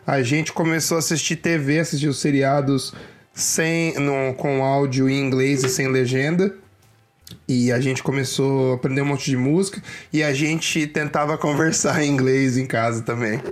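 A man's voice: mid-range voice, steady tone, moderate pace. A young Brazilian man, talking straight to viewers in casual, slangy Brazilian Portuguese and giving career advice.

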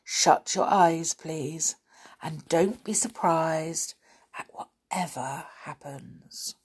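A woman reading aloud and voicing a calm, unruffled male wizard. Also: low-pitched, drawn-out words, breathy edge, heavily acted. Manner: calm, reassuring.

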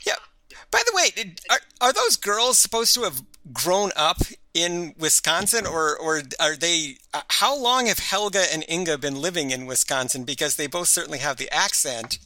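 A man's voice: a little high, big pitch swings, normal speed, sharp tone, dry recording. A man co-hosting a casual podcast chat about an old sitcom, talking in a chatty way with frequent restarts. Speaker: podcast co-host.

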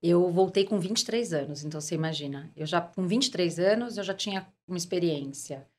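Podcast guest: Brazilian woman, 40s, lowish voice, emphatic conversational storyteller who slips into quoted dialogue. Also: expressive, brisk, darkish tone. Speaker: podcast guest, corporate executive. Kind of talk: conversational interview, personal career anecdotes.

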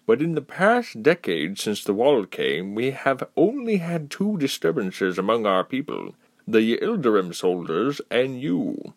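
A man voicing a calm, formal male council spokesman who speaks in a measured way. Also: medium pitch, no room sound, clean studio sound, pitched above the performer's own voice.

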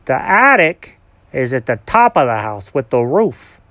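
A simple, good-natured male dog from a storybook, played by a man. He sounds dopey and earnest, with a lively, bouncing pitch. The sound is dry and close. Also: measured pace, no room sound, heavily acted. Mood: explaining.

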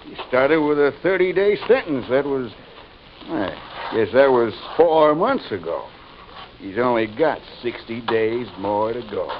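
An actor playing a brutal, bullying prison work-gang boss who barks orders and taunts, in an old-time radio western. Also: male voice, medium pitch, big pitch swings, a little slow. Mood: taunting, menacing. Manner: barking orders, menacing taunts. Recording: muffled, noisy old radio recording.

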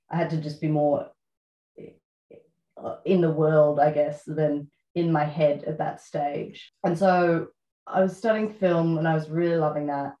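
An Australian woman in her thirties, a writer being interviewed, talking reflectively with many pauses and fillers. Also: deep voice, echoey room, highs cut off. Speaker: guest writer. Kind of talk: relaxed interview conversation.

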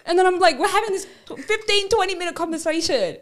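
Laughing high-voiced woman. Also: fast, over a noisy crowd. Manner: laughs often, animated storytelling. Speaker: podcast co-host. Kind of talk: casual chat.

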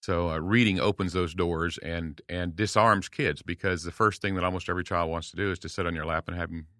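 A man's voice: low voice, steady tone, brisk, sharp tone, no room sound, clean studio sound. An American man in his sixties talking conversationally as an interview guest, explaining things in a folksy way. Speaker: podcast guest, veteran homeschool dad and speaker.

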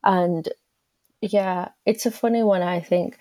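Brief: young English woman, podcast guest, lowish pitch, chatty with many fillers, dry close sound. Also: steady tone, slow pace, warm tone, no room sound. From England. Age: young adult. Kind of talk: casual conversational answers.

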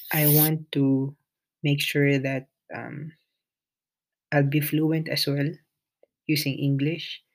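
Slow, creaky-voiced podcaster. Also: medium pitch. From Philippines. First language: Filipino. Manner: hesitant, many pauses and restarts; shy, introverted. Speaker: podcast host. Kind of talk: solo podcast monologue.

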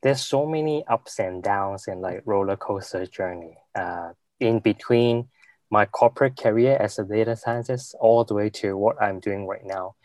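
Interview guest: young man from Hong Kong, lowish voice, dry close sound, halting delivery. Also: a little mellow, no echo. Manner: halting, many fillers and restarts. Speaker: guest, venture capital investor. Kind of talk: interview answers.

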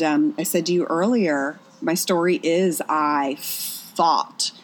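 A woman with a notably low voice speaks earnestly, reflecting on her own life. She is from Pennsylvania and tells her story as a guest in a candid, personal way. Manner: earnest, reflective, speaks with frequent "I was just like".

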